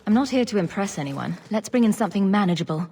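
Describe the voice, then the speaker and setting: Fantasy game heroine: confident, wry and deadpan, mid pitch, faint music. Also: female voice, fast talker, breathy edge. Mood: matter-of-fact. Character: dry, sardonic woman adventurer, confident leader. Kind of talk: scripted fantasy game dialogue.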